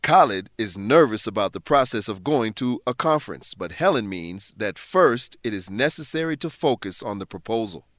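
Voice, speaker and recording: Animated male English lesson presenter. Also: fairly low pitch, moderate pace, hardly any pauses, dry recording, studio quality. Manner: careful, clearly explanatory. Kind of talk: scripted instructional narration.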